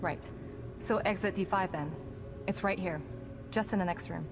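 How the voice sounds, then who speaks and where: An actress playing a composed, guarded psychologist in a video game, speaking in precise, formal clinical terms. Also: female voice, on the low side, moderate pace, lightly acted. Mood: clinical, focused. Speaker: psychologist character in a horror game. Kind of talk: video game cutscene acting. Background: background music.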